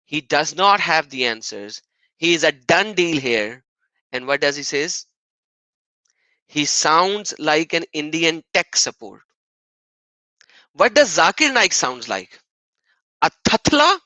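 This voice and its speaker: A man's voice: on the high side, big pitch swings, slow, long pauses, bright, forceful tone, no echo. A man hosting an argumentative live stream on religion, often mocking and repeating phrases for emphasis.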